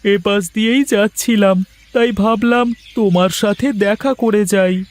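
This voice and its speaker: A man's voice: high-pitched, fairly even intonation, a little slow, faint music behind. A man voicing a polite, deferential younger village brother in a cartoon, with a slightly hesitant manner. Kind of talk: cartoon voice acting.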